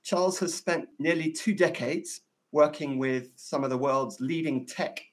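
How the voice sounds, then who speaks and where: A man's voice: a little high, measured pace. A man hosting a podcast interview, introducing his guest in an enthusiastic, effusive way, speaking from a hotel room. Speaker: podcast host.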